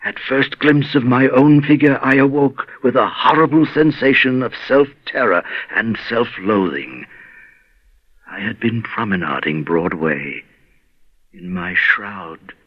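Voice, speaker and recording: A man hosting and narrating macabre scripted tales in a husky voice. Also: medium pitch, animated, unhurried, dull audio. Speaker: narrator and program host.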